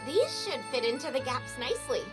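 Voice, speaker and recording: A woman voicing a reserved, practical young girl engineer in a video game, measured and a little hesitant. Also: sits high, big pitch swings. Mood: focused. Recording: music behind the voice.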